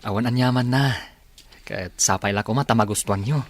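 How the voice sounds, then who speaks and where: Husky, earnest young Ilocano suitor. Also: male voice, fairly low pitch, fairly quick, no echo. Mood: polite, sincere. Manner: earnest, pleading, sincere. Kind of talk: Ilocano radio drama acting.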